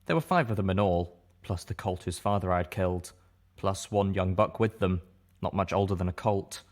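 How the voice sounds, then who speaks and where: Low-voiced man narrating a story. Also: moderate pace, a little mellow. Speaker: audiobook narrator. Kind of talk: scripted first-person story narration.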